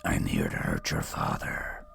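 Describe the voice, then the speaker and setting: A man playing a calm, righteous, menacing masked killer in a horror audio drama, speaking slowly in a breathy, low whisper. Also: slight background noise, exaggerated voice. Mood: grave, secretive. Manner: slow, hushed, deliberate.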